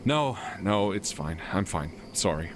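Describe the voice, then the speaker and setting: A man's voice: low-pitched, expressive, unhurried, background noise. A man acting in an audio drama as a tired, dry, sarcastic friend just off work, speaking naturalistically. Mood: weary.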